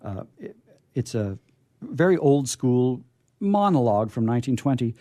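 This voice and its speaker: A male radio host with a mellow, mid-pitched voice and very lively intonation. He chats casually, rambling and circling back over his words, in a dry studio sound. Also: normal speed, no room sound. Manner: rambling, hesitant, repeating himself. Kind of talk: casual unscripted show chat.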